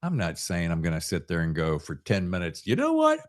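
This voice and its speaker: Low-voiced man, very animated host. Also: fairly quick. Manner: emphatic, acts out imagined reactions in quotes. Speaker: podcast host. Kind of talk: casual chat.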